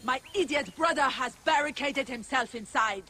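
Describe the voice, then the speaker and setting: An actor playing an exasperated, scolding villager in a video game, forceful and worried. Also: sits high, normal speed, slight background noise. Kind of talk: video game cutscene acting.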